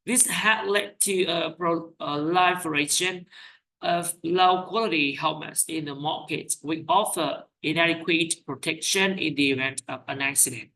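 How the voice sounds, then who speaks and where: An IELTS teacher reading sample speaking-test answers aloud, with quick self-corrections. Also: mid-range voice, sharp tone, rough recording. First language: Vietnamese. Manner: reads out questions and answers, corrects own slips with "sorry".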